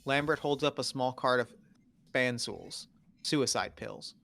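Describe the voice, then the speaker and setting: Male narrator, medium pitch, reads terse screenplay directions for a table read. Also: unhurried, gravelly, a bit bright.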